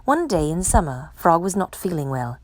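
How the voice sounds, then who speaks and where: Woman, children's storybook narrator, slightly low voice, very lively pitch, dry close sound. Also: moderate pace, no echo. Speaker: storytime reader.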